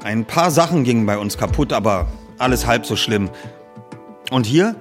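A man's voice: medium pitch, lively intonation, normal speed, voice pushed up, lightly acted. A man voicing a polite, down-to-earth teenage boy detective in an audiobook. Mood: polite, casual. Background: music under the reading.

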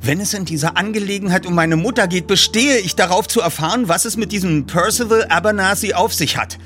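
A man's voice: sits high, brisk, fairly bright tone, faint music behind, pitched above the performer's own voice. A man voicing an insistent, probing, articulate teenage boy detective in an audiobook. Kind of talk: audiobook character reading.

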